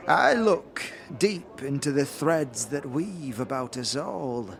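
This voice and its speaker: A man's voice: fairly high pitch, exaggerated voice. A man voicing a cryptic female fortune teller in a fantasy audio drama, portentous and archaic in manner. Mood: cryptic, solemn. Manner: mysterious, portentous, archaic phrasing. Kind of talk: audio drama character acting.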